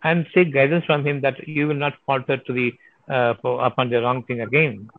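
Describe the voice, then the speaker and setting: A man's voice: medium pitch, steady tone, moderate pace, no room sound. Male speaker taking audience questions, emphatic and rhetorical. Tinny, phone-band sound. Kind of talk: question and answer session.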